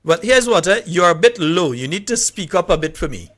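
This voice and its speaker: A man's voice: high voice, animated, brisk, fairly bright tone. A man with a Grenadian accent hosting a live radio call-in show, informally greeting listeners by name in a voice that is giving out. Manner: informal, calling out names. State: hoarse, voice giving out. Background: music playing behind the voice.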